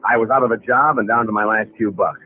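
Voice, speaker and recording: An actor with an American accent playing a wry, slangy young drifter in a vintage radio drama, both narrating and speaking in scenes. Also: male voice, on the low side, steady tone, fast, natural voice. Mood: wry, confiding. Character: streetwise, down-on-his-luck young drifter telling his story. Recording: tinny, noisy old radio broadcast recording.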